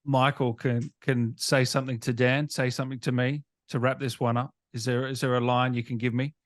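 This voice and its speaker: A man's voice: medium pitch, level tone, a little fast. Australian male interview host. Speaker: interviewer, gaming channel host. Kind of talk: casual conversational interview.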